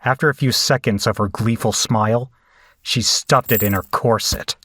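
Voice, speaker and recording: A man's voice: lowish voice, steady tone, normal speed, fairly bright tone, no echo, clean studio sound. A man playing a tormented, sardonic captive who narrates his ordeal in a first-person horror audio drama. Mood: grim, anguished. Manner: weary, sardonic.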